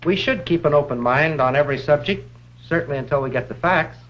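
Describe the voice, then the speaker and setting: A middle-aged American man presenting an educational lecture in a measured, deliberate manner, posing rhetorical questions, on a 1950s film soundtrack. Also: on the high side, fairly bright tone, lo-fi sound, slight background noise.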